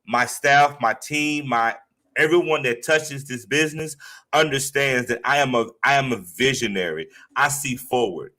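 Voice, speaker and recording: Male guest therapist with a stutter, bright forceful tone, medium pitch, earnest and emphatic motivational delivery. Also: normal speed.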